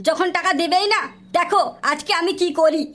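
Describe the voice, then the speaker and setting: Squeaky, aggrieved cartoon son. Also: steady tone, brisk, background noise. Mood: indignant. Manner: whiny, quarrelsome, swearing. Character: aggrieved, foul-mouthed young son pestering parents for money. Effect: artificially pitched-up cartoon voice filter. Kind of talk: cartoon comedy dialogue with pitch-shifted voice.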